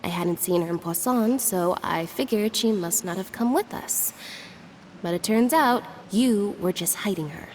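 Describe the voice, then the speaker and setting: A woman playing a cool, blunt young woman who speaks in a flat, deadpan way. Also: mid-range voice, measured pace, slight background noise, close to an everyday voice. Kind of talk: game voice acting.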